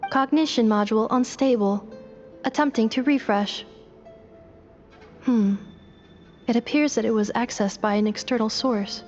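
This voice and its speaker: A woman's voice: fairly high pitch, a little slow, long pauses, darkish tone, close to an everyday voice. A woman voicing a puzzled, literal-minded female robot in a video game, with clipped, stilted, matter-of-fact phrasing. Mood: flat, puzzled. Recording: muffled game audio with music.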